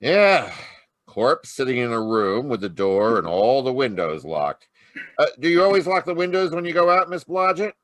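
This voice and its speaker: An actor playing a weary, grouchy, sarcastic homicide lieutenant in an old-time radio detective play. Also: male voice, medium pitch, big pitch swings, moderate pace, fairly bright tone, no echo. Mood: businesslike. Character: grumpy, weary male police homicide lieutenant. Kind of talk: 1940s detective radio acting.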